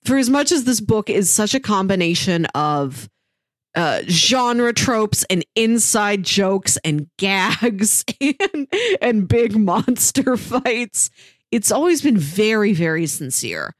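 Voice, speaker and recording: A woman's voice: mid-range voice, animated, slow, no room sound, studio quality. A woman co-hosting a casual podcast about comics, talking thoughtfully and fluently. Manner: thoughtful, fluent, frequent "yeah" backchannels. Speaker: podcast co-host. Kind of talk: casual comic-book discussion.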